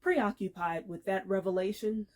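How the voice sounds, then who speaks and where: A woman giving a dramatic literary reading, measured and expressive, with deliberate pauses. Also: mid-range voice. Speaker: actor reading aloud.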